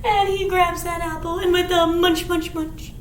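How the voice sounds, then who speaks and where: A woman's voice: very high-pitched, level tone, moderate pace. A woman presenting a storytime for young children, reading picture books and rhymes aloud in an exaggerated, sing-song way. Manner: sing-song, exaggerated, with dramatic gasps and simple explanations for little ones. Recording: roomy echo, clear background noise.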